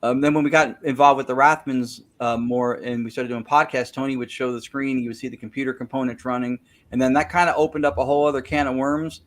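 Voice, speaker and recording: A man's voice: mid-range voice, level tone, fast talker, few pauses. Guy on a show walking through his technical setup step by step, restarts a lot, normal middle voice. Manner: detailed, technical storytelling; self-correcting, repeats words while thinking. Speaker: guest, spirit-communication researcher. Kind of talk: casual interview, explaining a method.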